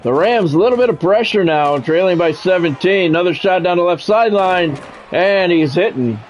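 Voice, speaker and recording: A man's voice: high-pitched, expressive, moderate pace, faint music behind. A man doing radio-style play-by-play over a college football video game, with an announcer's cadence that builds on big plays. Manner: switches between broadcast calls and chatting with viewers. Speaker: gaming streamer doing play-by-play.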